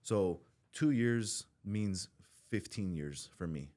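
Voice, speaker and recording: Very deep-voiced man talking bluntly. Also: expressive, slow, warm tone. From Southern California. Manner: blunt, swears freely, rambling with restarts. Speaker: podcast guest in recovery. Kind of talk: candid podcast conversation.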